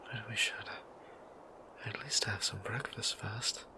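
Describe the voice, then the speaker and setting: A man voicing a shy, tender vampire priest in an intimate romantic audio roleplay, speaking in a breathy, hesitant whisper with creaky edges. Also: fairly low pitch. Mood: yearning, tender. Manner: hesitant, halting, gentle. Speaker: voice actor.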